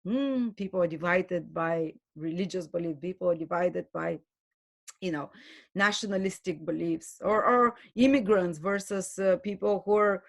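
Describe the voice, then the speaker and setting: A woman giving an earnest spoken lecture as a spiritual teacher, pausing and restarting her phrases often. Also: on the low side, gravelly. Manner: earnest, with frequent restarts and hesitations.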